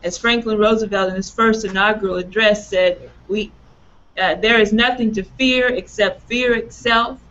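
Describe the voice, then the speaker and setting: A woman in her forties, raspy from allergies, introducing a political talk in an earnest, emphatic way. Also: medium pitch, fairly even intonation, normal speed, fairly bright tone. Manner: earnest, emphatic, laughs at own slips. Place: small office room, indoors. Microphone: webcam on a video call. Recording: dull lo-fi webcam sound.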